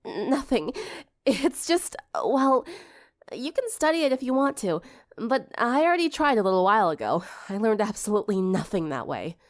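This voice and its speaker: A woman's voice: a little high, sing-song, normal speed. A woman voicing a confident, encouraging teenage girl band leader with a casual, wry manner in an audiobook story.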